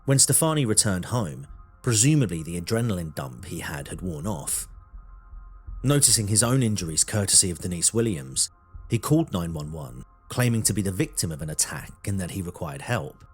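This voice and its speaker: A man narrating a scripted true crime documentary in a measured, dramatic storytelling manner. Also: deep voice, very animated, husky, faint music behind.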